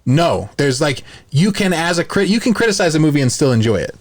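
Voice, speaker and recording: A man's voice: on the high side, big pitch swings, fairly quick. A man co-hosting a podcast, giving his opinions in a casual, chatty style. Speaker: podcast co-host.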